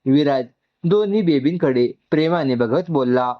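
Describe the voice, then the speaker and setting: A man's voice: on the high side, animated, normal speed. Man narrating a story, slightly muffled audio. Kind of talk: scripted narration of an emotional story.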